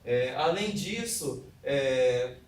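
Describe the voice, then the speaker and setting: A man with a Brazilian accent giving an academic presentation, explaining in a halting way and correcting himself as he goes. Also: mid-range voice, expressive. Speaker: presenter of a research paper. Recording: echoey room, distant mic, faint noise.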